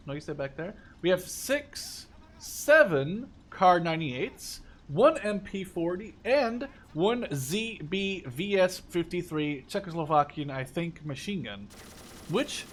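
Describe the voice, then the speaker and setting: A man in his twenties from the Netherlands giving a casual, rambling, enthusiastic game overview with informal language. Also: high voice. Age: 20s. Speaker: gaming video creator. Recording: clear background noise.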